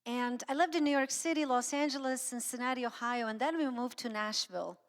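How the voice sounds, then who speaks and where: A middle-aged woman from Croatia with a Croatian accent, giving an informal inspirational talk with halting, anecdotal storytelling. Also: fairly high pitch. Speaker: guest speaker and singer. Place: church sanctuary, speaking to an audience. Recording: long hall reverb.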